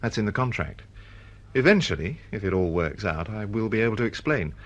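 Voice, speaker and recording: An actor playing a mild, easily flustered novelist in a radio drama, hesitant and politely protesting. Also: male voice, lowish voice, expressive, brisk. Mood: excited, evasive. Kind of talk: BBC radio drama acting.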